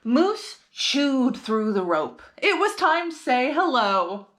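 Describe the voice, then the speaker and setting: A woman reads a picture book to young children as a storytime host. Her delivery is slow and expressive, with a lively swing in pitch. She addresses the children directly and simply. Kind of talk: children's read-aloud with questions to young listeners.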